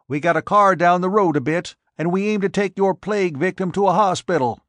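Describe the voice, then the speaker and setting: A man's voice: fairly high pitch, animated, moderate pace, no echo, pitched above the performer's own voice. A man voicing a stubborn, grizzled old male farmer who speaks with a rural drawl and folksy grammar. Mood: insistent.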